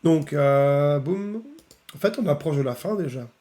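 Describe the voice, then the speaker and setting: A man with a cold, a bit hoarse and nasal, casually presenting software on a live stream. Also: fairly high pitch, animated, a little slow. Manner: chatty, many fillers, laughs often. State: has a cold, slightly hoarse.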